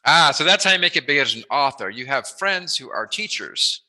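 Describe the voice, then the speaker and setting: A man's voice: fairly high pitch, animated, brisk, sharp tone. A man hosting a casual, chatty interview, tossing in joking asides as he asks questions. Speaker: interviewer, host.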